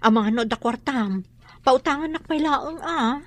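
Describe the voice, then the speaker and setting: An actress playing a worried, complaining wife in a radio drama, with an Ilocano accent. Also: female voice, high-pitched, measured pace, dry recording. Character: worried, complaining wife short of rent money.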